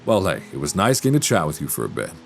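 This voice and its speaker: A handsome, youngish professor played by a man speaks in a deep, expressive voice, close and intimate. He is kindly and bookish, musing and gently flirtatious, as in an audio roleplay. Faint music sits behind him. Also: rapid-fire, gravelly, full character voice. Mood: concerned, insistent. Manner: soft chuckles, reassuring, gently teasing, musing. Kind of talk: intimate audio roleplay, one side of a conversation. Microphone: close binaural microphone.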